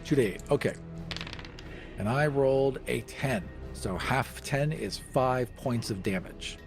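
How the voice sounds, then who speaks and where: A man's voice: medium pitch, measured pace. A man playing a tabletop roleplaying game, casually describing what his characters do. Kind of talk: casual play-by-play of game actions. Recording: faint music behind. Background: background music.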